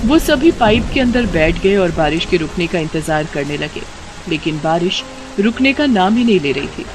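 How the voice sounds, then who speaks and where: A woman narrating a scripted children's moral story as a storyteller, expressive and dramatic. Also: fairly low pitch, moderate pace, background noise. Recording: loud rain and ambience behind.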